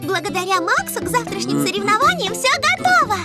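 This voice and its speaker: A woman playing a kind, caring young ambulance robot in a Russian cartoon dub, expressive and reassuring. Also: extremely high pitch, fast talker. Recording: music behind.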